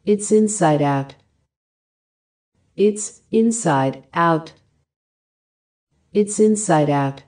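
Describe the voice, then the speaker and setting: A woman reading short English phrases for a language lesson, each one repeated with careful, even enunciation. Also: lowish voice, extremely slow, long pauses. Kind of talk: slow repeated phrase drills.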